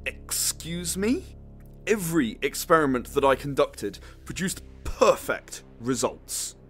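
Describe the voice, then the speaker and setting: Casting: a callous young male student, scornful and short-tempered, swinging widely in pitch, sci-fi radio play. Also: sing-song, takes it slowly, soft background music. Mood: controlled indignation. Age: young adult. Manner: scornful, insistent. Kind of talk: full-cast sci-fi audio drama.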